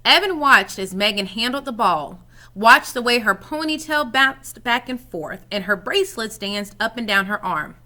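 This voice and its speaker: A woman reading a children's story aloud in an expressive, animated way, dramatizing it as she goes. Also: on the high side, normal speed.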